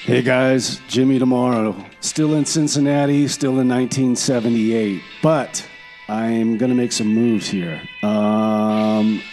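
Casting: male actor as laid-back time-traveling caller, soft mellow tone, offhand rambling, faint guitar music underneath. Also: mid-range voice, steady tone, a little slow, natural voice. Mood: casual, rambling. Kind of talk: casual comedy radio monologue.

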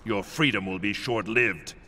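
Guy as solemn righteous god of thunder, lowish voice, game fight noise behind. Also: measured pace, close to an everyday voice. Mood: stern. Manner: solemn, commanding. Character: stern, righteous male thunder god protector. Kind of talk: video game voice acting.

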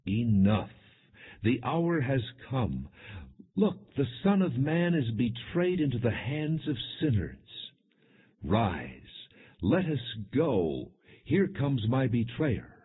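Grave, troubled male teacher read by a man for scripture audiobook: earnest, commanding, husky. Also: medium pitch, big pitch swings, slow pace, pitched above the performer's own voice, exaggerated voice. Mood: urgent, reproachful. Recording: muffled, narrow phone-like sound.

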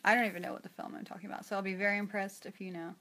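A woman's voice: mid-range voice, fairly even intonation, rapid-fire, sharp tone. A young woman, chatting casually on a phone livestream from a small room and reading viewer comments aloud with frequent giggles. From New Zealand. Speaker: livestream host. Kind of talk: casual live-stream chat answering viewers. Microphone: phone at arm's length.

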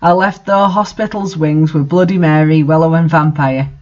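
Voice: medium pitch, fairly even intonation, moderate pace, darkish tone, dull audio. A host reading fan fiction aloud and adding casual, incredulous commentary.

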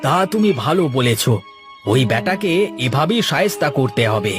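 A man voicing an excitable, vengeful farmer, an elder brother in a cartoon fairytale, with emphatic delivery. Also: a little high, normal speed. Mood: indignant, vengeful. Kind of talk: animated moral fairytale dialogue. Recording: music behind the voice.

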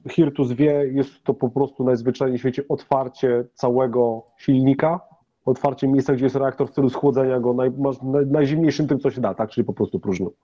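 A man's voice: mid-range voice, fairly even intonation, brisk, warm tone, highs cut off. A man acting as game master, explaining things to players in a tabletop game.

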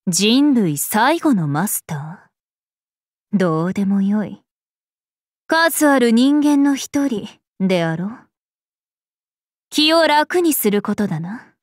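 Slow, imperious princess spirit. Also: female voice, mid-range voice, big pitch swings, no echo, clean studio sound. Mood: aloof, mildly chiding. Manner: imperious, archaic, composed, aloof. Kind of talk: Japanese mobile game character voice lines.

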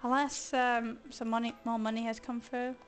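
A hoarse woman chatting casually as she streams a video game, thinking aloud and grumbling playfully. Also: a little high, little pitch movement, moderate pace. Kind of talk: casual let's-play commentary.

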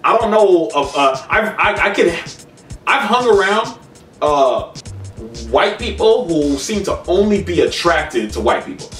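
A man in his thirties co-hosting a casual, opinionated discussion, halting and repeating words as he makes his point. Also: high voice, expressive, fairly bright tone, soft background music. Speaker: co-host of online discussion show. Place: small room at home, indoors. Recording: echoey home room, distant mic.

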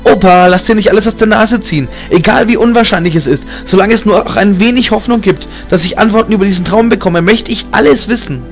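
A man's voice: sits high, fast, few pauses, soft background music, pitched above the performer's own voice. A man voicing an earnest, impatient, determined boy in an audiobook, with an insistent manner. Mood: impatient pleading. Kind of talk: audiobook character acting.

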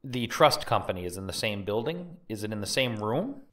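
A man's voice: fairly low pitch, sing-song, fairly bright tone, distant mic. A man playing a formal, probing 1890s prosecuting lawyer questioning witnesses in a dramatic trial reading. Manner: formal, inquisitive, measured.

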